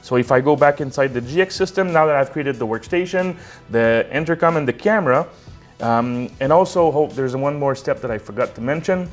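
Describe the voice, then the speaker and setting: A man's voice: medium pitch, expressive, brisk, soft background music. A man presenting a step-by-step technical tutorial in an unscripted, conversational way, often checking in as he explains.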